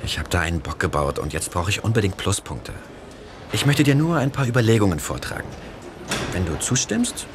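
A man playing an anxious policeman who coaxes and hesitates, in a German radio drama. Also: low voice. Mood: coaxing. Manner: hesitant, coaxing. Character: anxious, wheedling policeman angling for information. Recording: clear background ambience noise.

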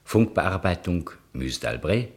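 A man's voice: deep voice, very animated, slow pace. A man reading scripted closing credits as a radio announcer. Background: guitar music.

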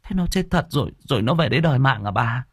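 A timid young servant girl, as a man puts her on, meek and fearful, her voice pushed well above his own. A husky edge stays underneath. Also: medium pitch, expressive, fast, no echo, studio quality, voice pushed up. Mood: shocked. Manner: meek, frightened.

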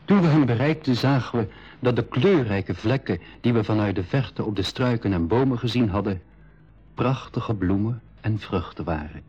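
A man narrating his own adventure calmly in a scripted 1980s radio play, with a husky voice. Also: lowish voice, moderate pace. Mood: descriptive. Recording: slightly dull 1980s radio recording.

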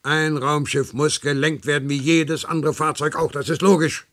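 An actor playing a dutiful, stubborn 95-year-old worker in a radio play, husky and gravelly, prone to stammering. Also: male voice, on the high side, flat delivery, normal speed, a bit bright, close to an everyday voice. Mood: indignant. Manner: stammers and repeats words when agitated.

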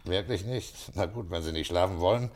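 Deep-voiced, calm police commissioner played by a man. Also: brisk, natural voice. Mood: businesslike. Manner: terse, matter-of-fact questioning. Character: male police commissioner, calm, probing, quietly authoritative. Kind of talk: German crime radio play acting.

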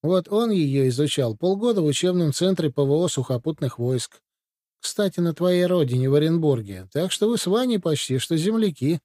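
A brisk, commanding Soviet officer, read by a man in a matter-of-fact way. The tone sits a touch high yet mellow, and the recording is dry and close. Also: lively intonation, dry recording, lightly acted. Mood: hurried. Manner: matter-of-fact briefing delivery. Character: male Soviet military adviser, brisk and commanding. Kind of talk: audiobook reading.

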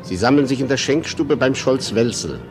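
A man's voice: medium pitch, lively intonation, normal speed. Male radio drama narrator over music.

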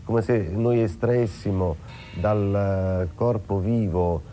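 A man's voice: on the low side, steady tone, takes it slowly, mellow, faint music behind. A man explaining his theatre work reflectively, hesitating and searching for words as he goes. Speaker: theatre maker.

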